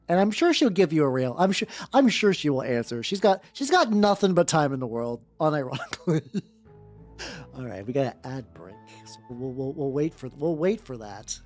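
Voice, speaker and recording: A woman's voice: low-pitched, darkish tone. A woman VTuber streamer doing a comic fanfiction reading with playful, irreverent, chatty asides. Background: background music.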